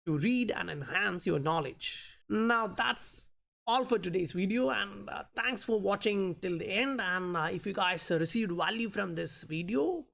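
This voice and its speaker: Gravelly Indian-accented woman explaining charts. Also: medium pitch, fairly bright tone. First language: not English. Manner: explanatory, many fillers like "you know" and "uh". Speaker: crypto chart analyst, channel host. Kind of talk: talking through price charts in a vlog.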